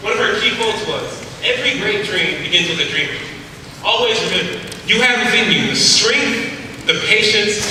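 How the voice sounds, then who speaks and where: A young male student speaker delivers an emphatic, rhythmic speech in a large auditorium. His fairly high voice carries from the stage to a camera far back in the hall. The room rings with long echoes and steady background noise. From South Carolina, USA. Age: young adult. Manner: emphatic, rhythmic orator leading call and response. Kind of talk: oratorical speech to an audience. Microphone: far camera in the audience.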